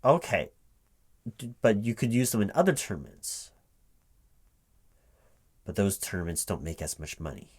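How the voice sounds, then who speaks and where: Low-voiced man interviewing, keyboard typing behind. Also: sing-song, slightly rough. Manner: halting, puzzled questioning, checking back with "right?". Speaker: job interviewer, recruiting manager in a roleplay. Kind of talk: one-sided spoken ASMR interview roleplay. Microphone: close microphone with typing sounds behind. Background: computer keyboard typing.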